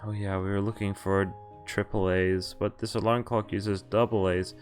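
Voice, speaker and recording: A man's voice: on the low side, level tone, moderate pace, faint music behind. A man streaming a visual novel, reading the game text aloud in a half-acted way and breaking off for wry, casual asides.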